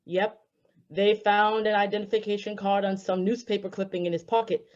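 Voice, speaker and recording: A woman's voice: mid-range voice, little pitch movement, normal speed, a little mellow, lightly acted. A woman plays a plain-spoken cop in a radio drama. The delivery is a matter-of-fact report to a superior. The sound is slightly dull and lo-fi. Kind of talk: old-time radio drama acting.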